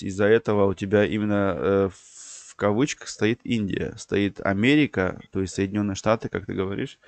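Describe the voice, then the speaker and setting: Low-voiced man hosting a stream. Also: dry recording. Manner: rambling, explanatory, many fillers. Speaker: stream host. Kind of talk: livestream chat.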